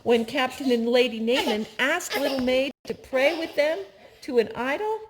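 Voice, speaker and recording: An older woman reading a children's story aloud as a storyteller, with simple, deliberate phrasing for young listeners. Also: sits high, expressive, background noise.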